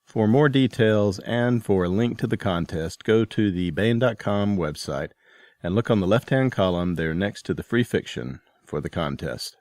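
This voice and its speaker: A man's voice: fairly low pitch, lively intonation, moderate pace, darkish tone, slight background noise. A man announcing a listener contest in a promotional podcast segment.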